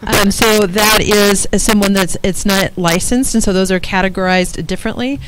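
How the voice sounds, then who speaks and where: A woman administrator explaining staffing classifications at a board meeting in a drawn-out, explanatory way. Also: medium pitch, fairly even intonation, background noise. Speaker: school administrator. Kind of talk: answering questions at a board meeting. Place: public meeting room.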